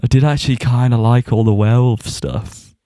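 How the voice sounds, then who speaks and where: A man's voice: medium pitch, fairly even intonation, a little fast, dark tone, no echo, clean studio sound. A man co-hosting a podcast, giving a casual review and often chuckling as he talks. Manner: chuckles often while talking.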